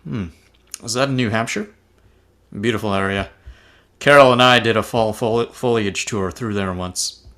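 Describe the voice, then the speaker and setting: A man's voice: on the low side, moderate pace, long pauses, a bit bright. A man playing a cheerful, wholesome scoutmaster ghost in an amateur table read, earnest and rambling. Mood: chatty. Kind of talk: amateur table read over video call.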